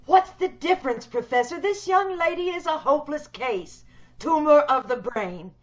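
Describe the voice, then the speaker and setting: A woman playing a sinister, hideous schemer in an old-time radio horror play, speaking with measured, coolly polite menace. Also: high voice, expressive. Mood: smooth, scheming. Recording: dull video-call radio play recording.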